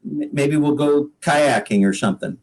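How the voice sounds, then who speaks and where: A man's voice: a little high, normal speed. An American man from the Pacific Northwest, a guest singer-songwriter, chatting casually in a folksy, rambling way and repeating words as he goes. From United States, Pacific Northwest. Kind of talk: casual podcast chat.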